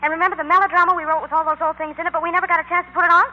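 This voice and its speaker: A woman's voice: very high voice, level tone, racing. An actress playing a frank, practical teenage girl in 1940s radio style. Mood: eager. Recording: muffled 1940s radio recording, music behind.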